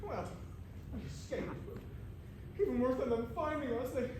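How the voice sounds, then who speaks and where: A woman's voice: sits high, moderate pace, darkish tone, close to an everyday voice. A woman playing an eager, loyal, anxious hobbit sidekick in an amateur stage pantomime, in an echoey theatre. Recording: echoey noisy theatre, distant.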